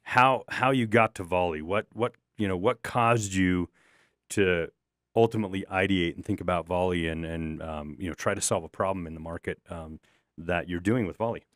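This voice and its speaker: A man hosting a podcast interview, with a deep, booming voice and an easygoing, encouraging way of asking questions. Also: moderate pace, no room sound, clean studio sound. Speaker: podcast host. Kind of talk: conversational interview.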